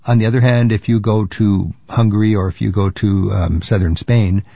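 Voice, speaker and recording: A man's voice: fairly low pitch, fairly even intonation, normal speed, vocal fry, dark tone, dry recording, highs cut off. An older man hosting a travel radio show, chatty and curious, thinking aloud with fillers as he asks questions. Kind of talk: radio interview.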